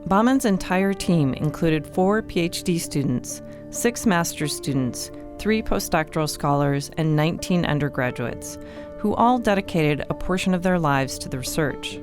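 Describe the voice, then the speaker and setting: A woman's voice: deep voice, lively intonation, normal speed, over music. A woman reading scripted narration as the host of a podcast.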